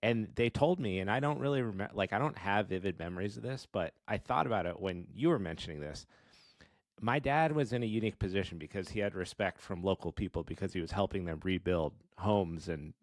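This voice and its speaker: A man hosting a podcast, talking in a relaxed, slangy way. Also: deep voice, fairly quick, dry recording. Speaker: podcast host. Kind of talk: casual podcast conversation.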